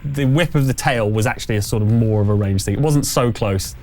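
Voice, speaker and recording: A man's voice: lowish voice, fairly quick, mellow. Hesitant male game master, noisy room. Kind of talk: narrating and refereeing a tabletop game.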